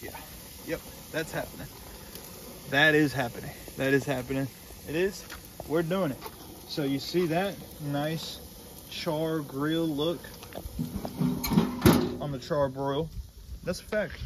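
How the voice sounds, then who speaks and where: A man's voice: on the high side, slow, long pauses. A man in his twenties hosting a casual cooking vlog, talking in a slangy, jokey way and repeating his phrases. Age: 20s. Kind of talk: casual chat to camera while cooking. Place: mostly outdoors in a backyard by a grill. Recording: noisy outdoor phone-camera audio.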